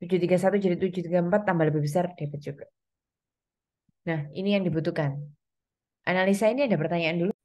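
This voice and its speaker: A woman lectures to students in a slightly low, very mellow voice. She explains things step by step, often pausing on reminders and small check-ins to keep listeners following. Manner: instructional, step-by-step, with frequent reminders and check-in tags. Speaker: accounting lecturer.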